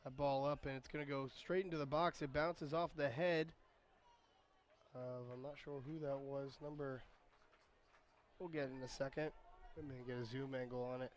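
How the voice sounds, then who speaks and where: A man with a raspy, hoarse voice doing solo play-by-play commentary of a soccer match, chatty and quick to correct himself. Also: mid-range voice, fairly even intonation, a little hiss or hum. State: hoarse voice recovering. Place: outdoor stadium.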